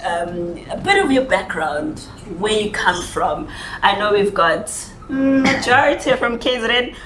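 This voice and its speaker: A South African woman hosting a lively group conversation in a playful, teasing way, often laughing. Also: mid-range voice, a little slow, background noise. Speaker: podcast host. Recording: roomy echo, people in background.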